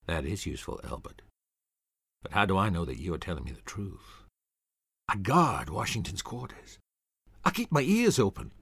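A man's voice: deep voice, big pitch swings, moderate pace, long pauses, fairly bright tone, slightly rough, no echo, clean studio sound, exaggerated voice. A man voicing a shady, threatening man bargaining for secrets. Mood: menacing.

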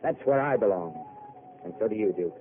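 An actor playing a weary, eloquent intellectual who makes theatrical speeches, in old radio drama. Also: male voice, on the low side, animated, brisk, natural voice. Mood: wistful. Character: weary poetic intellectual man, wistful and eloquent. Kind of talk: 1930s radio drama acting. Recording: tinny old broadcast with hiss.

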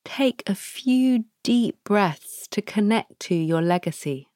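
A woman guides a meditation at a very slow, unhurried pace, leaving long pauses between gentle prompts. Her voice sits at a middle pitch and is recorded close and dry, with no room sound around it. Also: lively intonation, no room sound. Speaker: podcast host guiding a practice.

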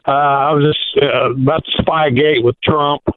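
A man's voice: on the high side, fairly even intonation, normal speed, clear, resonant tone, dry recording. A man calling in to a radio show, hesitant at first and then indignant as he asks his question. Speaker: caller. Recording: phone line.

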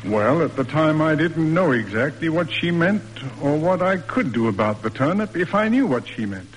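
An actor playing a stiff, proper middle-aged father in a classic radio drama, speaking in measured, roundabout formal sentences. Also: a little high, big pitch swings, a little hiss or hum. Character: stiff, proper, reserved father in period New England. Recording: old radio recording, reverberant, music behind.

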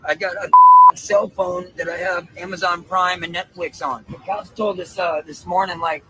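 A man's voice: sits high, huge pitch swings, moderate pace. A man answering a street interview in a blunt, slangy, casual way, recorded outdoors. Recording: noisy outdoor street clip with traffic.

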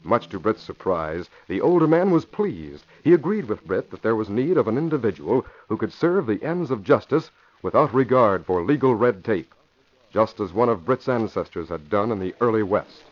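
A man's voice: on the low side, big pitch swings, moderate pace. A man narrating a 1940s radio drama as announcer in a dramatic, declamatory manner. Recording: muffled vintage radio recording.

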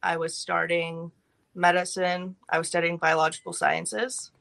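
A young woman from California, a podcast guest telling her life story in a brisk, matter-of-fact way with light laughs. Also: fairly low pitch, level tone, vocal fry, a bit bright.

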